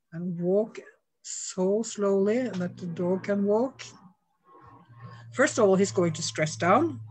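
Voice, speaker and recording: A middle-aged Norwegian woman speaking English with a clear Norwegian accent, her voice at an ordinary middle pitch. She talks frankly and at an easy pace, laughing readily, over a home video call. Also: darkish tone, rough recording. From Norway. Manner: frank, laughs easily, unhurried. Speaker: dog trainer guest. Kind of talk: casual video call interview. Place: home room with shelves.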